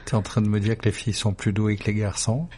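A man's voice: lowish voice, flat delivery, fast talker, darkish tone, breathy edge. A man hosting a radio interview, friendly and joking, with short encouraging replies. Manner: friendly, jokey, short back-channel replies. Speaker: radio host.

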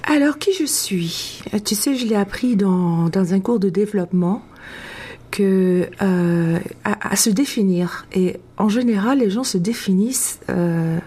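A woman from France speaking as an interview guest, reflective and halting, with long thoughtful hesitations. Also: medium pitch, warm tone, husky. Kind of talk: casual, reflective interview talk.

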